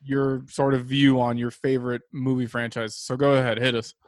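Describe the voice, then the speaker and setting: A man's voice: medium pitch, flat delivery, moderate pace, no room sound. A man co-hosting a podcast, teasing the others and steering the casual chat over a video call. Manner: teasing, laughs easily. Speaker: podcast co-host.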